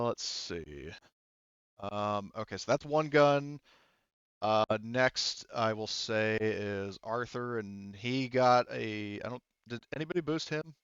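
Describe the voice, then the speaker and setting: A man's voice: on the low side, smooth, no echo, muffled recording. A man acting as game master, casually thinking aloud as he narrates dice rolls and rulings.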